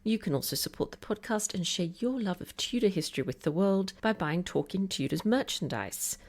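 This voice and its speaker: A woman's voice: medium pitch, lively intonation, fairly quick, darkish tone. A woman hosting a history podcast, reading sponsor and support announcements in a polished, enthusiastic way before interviewing a guest. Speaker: podcast host. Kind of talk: scripted podcast intro and interview.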